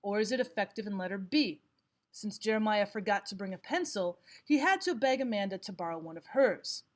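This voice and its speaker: A woman teacher giving scripted instructional narration, explaining and reading examples out deliberately. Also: mid-range voice, expressive. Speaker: language arts teacher.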